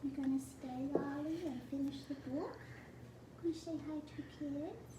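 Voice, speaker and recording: Woman reading slowly to children, roomy sound. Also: sits high. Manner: slow, deliberate phrasing for children. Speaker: teacher reading a picture book to children. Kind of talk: read-aloud storytelling with asides to young listeners. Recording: roomy, distant mic with clear background noise.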